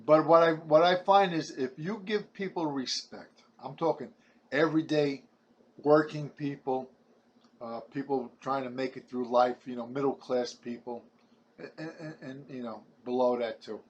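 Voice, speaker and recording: A man's voice: mid-range voice, highs cut off, a little hiss or hum. A man in his seventies from Brooklyn with a New York accent, talking to camera in a rambling personal testimony, often repeating his words. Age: 70s. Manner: halting, repeats words, chatty and preachy.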